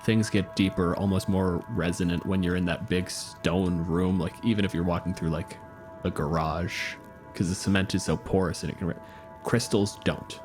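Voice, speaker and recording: A man narrating as game master of a tabletop game, relaxed and chatty, full of little fillers. His voice is on the low side. Faint music plays underneath. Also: moderate pace. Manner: chatty, laid-back with frequent fillers.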